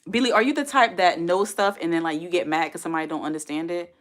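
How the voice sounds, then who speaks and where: Woman, 40s, livestream host, slightly low voice, casual and chatty with viewers. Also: quick pace. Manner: chatty, talks straight to chat, laughs often. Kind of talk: casual chat reading viewer comments.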